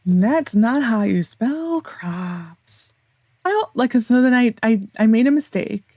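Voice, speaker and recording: A young woman with a hoarse, sore voice, rambling through casual gameplay commentary with frustrated sarcasm. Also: a little high, expressive, slow pace, a little mellow, dry recording. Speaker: gaming YouTuber.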